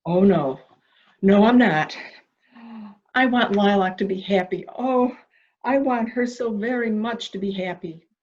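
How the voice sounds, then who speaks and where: A woman's voice: medium pitch, unhurried, darkish tone, natural voice. A woman playing a fretful, intense, protective member of a household in a melodramatic mystery reading, in slightly dull sound. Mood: pleading. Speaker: amateur reader, library staff. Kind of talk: dramatic reading of a murder mystery.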